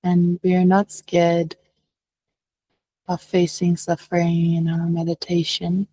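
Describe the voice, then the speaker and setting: A woman leading a guided meditation as a teacher, speaking gently and unhurriedly with long pauses. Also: lowish voice, monotone, breathy edge, dry recording.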